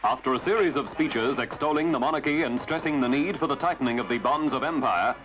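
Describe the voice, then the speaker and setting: A man's voice: mid-range voice, fast talker, muffled recording, slight background noise. A man reading scripted documentary narration as a newsreel narrator. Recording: band-limited archive sound over music. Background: music.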